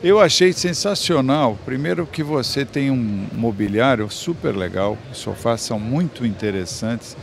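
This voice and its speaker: A man's voice: medium pitch, animated, unhurried, creaky, hardly any pauses, slight background noise. A man in his fifties with a Brazilian Portuguese accent, hosting on-site interviews at a trade fair and praising products with enthusiasm, speaking into a handheld mic in a large exhibition hall. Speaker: trade show TV host.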